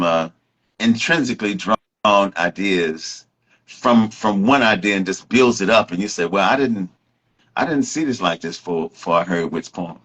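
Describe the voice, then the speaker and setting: A man hosting a casual talk, commenting reflectively in a halting way as he repeats and rephrases himself. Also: sits low, fairly bright tone. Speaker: host.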